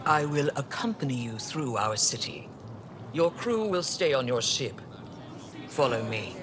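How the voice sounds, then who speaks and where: An actor playing a composed, guarded alien governor in a video game, speaking in a measured, formal and curt way. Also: male voice, mid-range voice, expressive, crowd noise, lightly acted. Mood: firm. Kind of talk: video game dialogue acting. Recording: game dialogue over noisy ambience.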